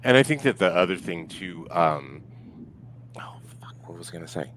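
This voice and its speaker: Halting, low-voiced man on a podcast. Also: very animated, a bit bright, slight background noise. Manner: halting, hedging, profane. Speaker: podcast guest and co-host of a fan podcast. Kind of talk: casual sports chat.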